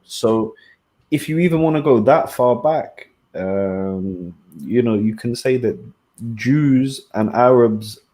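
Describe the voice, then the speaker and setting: A young man speaking earnestly and at a measured pace in a casual podcast discussion over a video call. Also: mid-range voice, animated, mellow. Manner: measured, earnest, repeats words. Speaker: podcast panelist.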